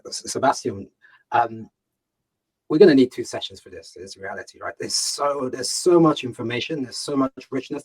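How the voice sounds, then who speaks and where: Man, Ga, founder guest on a video call; husky, earnest, repetitive, emphatic. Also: mid-range voice, low-quality recording. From United Kingdom. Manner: earnest, emphatic, repeats words, asks himself questions. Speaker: startup founder and CEO, guest. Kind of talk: fireside chat on a video call.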